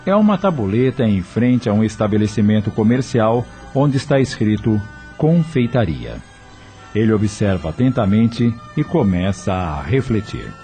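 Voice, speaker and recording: A man narrating a radio drama from a script in an expressive, literary storytelling manner. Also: fairly low pitch, moderate pace, soft background music.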